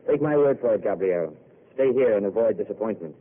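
An actor playing a weary, self-mocking intellectual who speaks in a wry, eloquent way, heard on a tinny old radio broadcast. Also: male voice, fairly low pitch, expressive, quick pace. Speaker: wandering failed writer. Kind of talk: 1930s radio drama acting.

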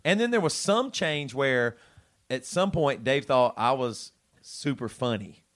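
A man's voice: medium pitch, lively intonation, measured pace, a bit bright. A man in his late thirties, a band's lead singer co-hosting a live podcast, chatting casually in a rambling, jokey way that often breaks off mid-thought. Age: 30s. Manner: rambling, self-interrupting and jokey.

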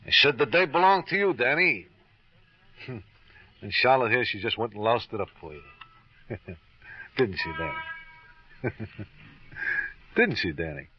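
An actor in a 1950s radio crime drama playing a boozy, rueful ex-husband suspected of murder, needling and slangy. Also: male voice, medium pitch, animated, a little slow, long pauses, background noise, close to an everyday voice. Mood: bitter amusement. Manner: sardonic, slangy, over-obliging. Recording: dull lo-fi old radio recording.

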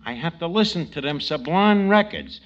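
An actor playing a wisecracking, gloomy New York tavern manager in a 1940s radio comedy, slangy and grumbling with a New York accent. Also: male voice, fairly high pitch, normal speed, close to an everyday voice. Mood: exasperated. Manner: slangy, ungrammatical, grumbling deadpan. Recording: muffled, noisy old radio recording.

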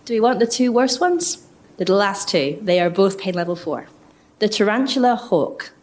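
Female reader, mid-range voice with animated swings, dramatic poetic delivery. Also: mid-range voice, moderate pace. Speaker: live event reader. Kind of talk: dramatic reading of short poetic text.

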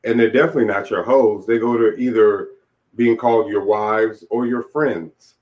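A man's voice: on the low side, fairly even intonation, normal speed. A man in his late forties giving an interview, telling his life story in a candid, reflective, plain-spoken way. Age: late 40s. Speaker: interview guest, author. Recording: dull, lo-fi audio.

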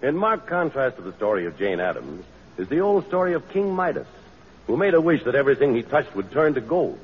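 A man's voice: mid-range voice, sing-song, a little fast, highs cut off, music in the background. A man announcing in a scripted, emphatic old-time radio style on a vintage broadcast recording. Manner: declamatory, emphatic delivery. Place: auditorium stage.

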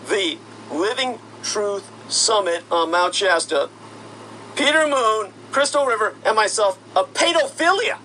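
A man's voice: high-pitched, sharp tone, a little hiss or hum, low-quality recording. A man delivering an indignant, accusatory monologue that builds into heated outbursts. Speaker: commentator. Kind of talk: monologue rant.

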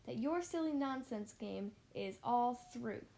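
A woman's voice: fairly high pitch, animated, unhurried, creaky, dark tone. A woman voicing a big, sour, bossy kangaroo who scolds scornfully in storybook rhyme. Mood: scornful, snappish. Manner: snappish, scornful, declaiming in rhyme.

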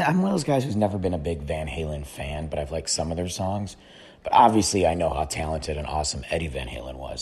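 A man hosting his own podcast with a hoarse, fading voice, talking loudly and rambling with stumbling restarts. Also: low-pitched. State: hoarse, voice nearly gone after shouting. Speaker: podcast host. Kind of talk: casual solo podcast recap.

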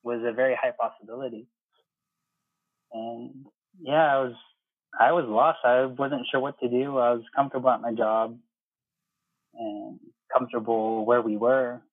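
A man's voice: medium pitch, level tone, measured pace, pauses often, husky, dry recording. Man, thirties, interview guest, conversational storyteller, dry phone-like sound, muffled. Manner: conversational, retells remembered exchanges in quoted speech. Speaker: interview guest, air traffic controller. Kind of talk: casual personal storytelling in an interview.

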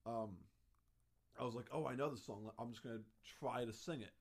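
A man's voice: medium pitch, brisk, vocal fry. A young man hosting a casual chat, telling a story in a chatty way full of "like" and restarts. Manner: chatty storytelling, heavy use of "like".

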